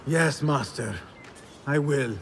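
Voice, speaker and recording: An actor playing an earnest, eager young apprentice assassin in a video game, with a respectful, formal manner. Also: male voice, mid-range voice, slow, creaky, slight background noise. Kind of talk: dramatic video game acting.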